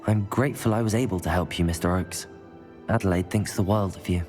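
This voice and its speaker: An actor playing a composed, polite and brave teenage wizard student in a video game. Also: male voice, low voice, fairly quick, a little mellow, natural voice. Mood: polite, reassuring. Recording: game music behind the voice.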